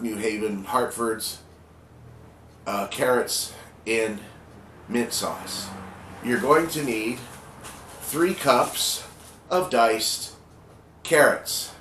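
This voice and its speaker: A man in his fifties talks slowly through a home recipe, chatty and unhurried, with a slightly congested voice. He is recorded on a webcam in a kitchen with a noticeable roomy echo and a faint hum of background noise. His voice sits at an ordinary middle pitch for a man. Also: a bit bright. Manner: slow, unhurried, chatty and homely. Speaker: home cooking host. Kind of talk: casual cooking video.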